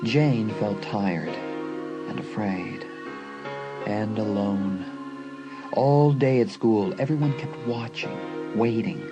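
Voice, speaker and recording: A man's voice: lowish voice, takes it slowly, long pauses, dull audio. A man reading suspenseful scripted narration for a dramatised audiobook. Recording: faint music behind the narration.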